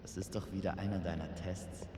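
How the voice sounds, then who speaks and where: Man playing a low, cryptic, teasing mentor. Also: flat delivery, warm tone, natural voice. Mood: knowing. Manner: teasing, measured, enigmatic. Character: playful, cryptic male mentor, the mysterious Reader. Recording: reverberant, with noisy ambience behind.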